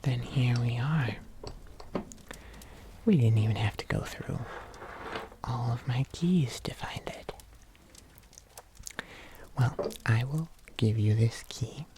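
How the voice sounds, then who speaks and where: An ASMR performer playing a kindly, patient locksmith, murmuring gently and unhurriedly to the listener, close to the microphone. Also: medium pitch, slow pace, pauses often, noisy background. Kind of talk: whispered ASMR roleplay.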